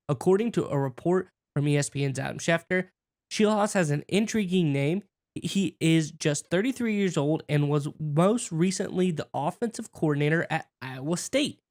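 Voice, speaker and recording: A man hosting a sports talk show, reading news articles aloud and then asking his co-host for his take. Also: on the high side. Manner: brisk, reads quotes then asks his co-host.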